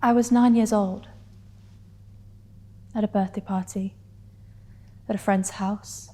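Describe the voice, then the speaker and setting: A woman's voice: medium pitch, fairly even intonation, mellow. Hurt, defiant woman, acted out in reverberant room. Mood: confessional, tender. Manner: intense, halting, drops to breathy whispers. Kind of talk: dramatic stage monologue.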